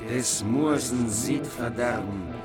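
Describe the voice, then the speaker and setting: A man recites old epic verse in a mid-pitched, solemn voice. He draws the lines out very slowly, and loud music runs behind him. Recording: studio voice over loud music.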